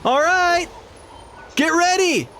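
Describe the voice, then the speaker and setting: A man's voice: very high voice, animated, slow, sharp tone, slight background noise, heavily acted. An actor playing an anxious, chatty male worker in a video game, explaining things eagerly. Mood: excited shouting.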